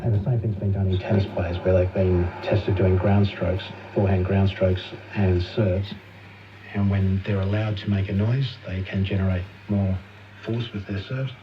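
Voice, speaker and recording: Low-voiced man explaining, on a distant noisy radio. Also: level tone, normal speed, dull audio. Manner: explanatory, conversational. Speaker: expert guest on a radio programme.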